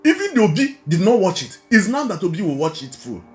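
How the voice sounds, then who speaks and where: A man's voice: high voice, big pitch swings, quick pace, faint music behind. A man with a Nigerian accent giving informal political commentary, repeating points emphatically and asking rhetorical questions. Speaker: online video commentator.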